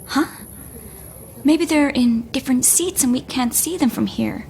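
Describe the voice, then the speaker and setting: A woman playing a wary, serious young woman in a naturalistic audio drama, speaking in a guarded, hushed way. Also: high-pitched, unhurried, gravelly, a little hiss or hum. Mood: anxious, hushed.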